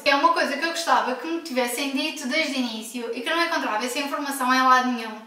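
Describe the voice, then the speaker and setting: A woman's voice: high-pitched, bright, forceful tone. A woman from Portugal with a European Portuguese accent, talking frankly and emphatically in a casual home vlog, recorded at a distance in an echoey room at home. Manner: frank, emphatic, with self-corrections. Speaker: vlogger.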